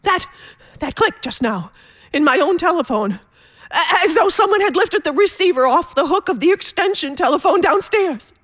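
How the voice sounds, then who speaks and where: An actress playing a terrified, pleading wife in a radio thriller, stammering and frantic, going from whispers to shouts. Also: female voice, extremely high pitch, lively intonation, moderate pace, dry recording, studio quality. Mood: alarmed. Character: terrified, pleading invalid wife alone at night, hysterical.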